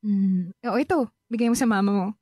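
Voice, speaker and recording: An actress playing a supportive, protective aunt in a Filipino radio drama, with an expressive, coaxing delivery. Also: female voice, mid-range voice, brisk, slightly rough, no echo, studio quality, natural voice. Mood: cheerful, proud. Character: supportive, protective aunt, quick to defend her niece.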